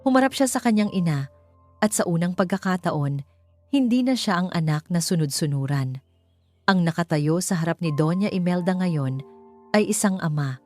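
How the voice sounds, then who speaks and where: A woman's voice: lowish voice, animated, normal speed, darkish tone, soft background music. A woman reading scripted, dramatic narration as a storyteller.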